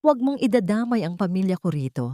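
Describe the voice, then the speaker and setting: A woman's voice: medium pitch, very animated, fast, mellow, no echo, lightly acted. Stern, condescending Filipino husband, played by a female narrator, menacing and controlling, dry story recording. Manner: stern, pressuring, switches into English. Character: cold, threatening rich husband, condescending adult man.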